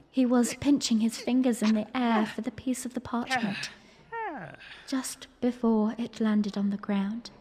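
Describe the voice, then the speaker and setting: A woman playing a young, refined princess, narrating her memories earnestly and formally in a breathy voice for an audiodrama. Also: on the high side, a little mellow. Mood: curious, narrating. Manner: storytelling, formal. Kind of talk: first-person dramatic narration. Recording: long reverberant hall echo.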